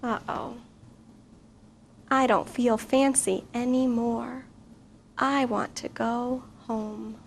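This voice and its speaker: A high-pitched middle-aged woman reads a picture book aloud to children, expressive and often pausing to ask them questions. She takes her time over the words, and a faint hiss of noise sits under her voice. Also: creaky. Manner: expressive, questions to young listeners. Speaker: guest reader, teacher.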